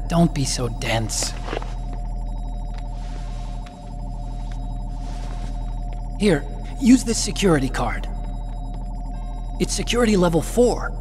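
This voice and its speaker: An actor playing an earnest, sensitive, nerdy young robotics engineer and guilt-ridden idealist in a video game, with a boyish manner. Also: male voice, a little high, sing-song, unhurried, over music. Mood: sheepish, curious. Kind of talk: video game cutscene acting.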